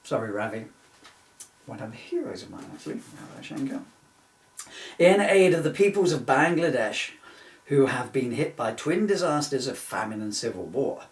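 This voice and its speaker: A man's voice: mid-range voice, very animated, normal speed. A man reading from his own book in an informal way, with jokey, self-mocking, rambling asides. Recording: echoey room, distant mic.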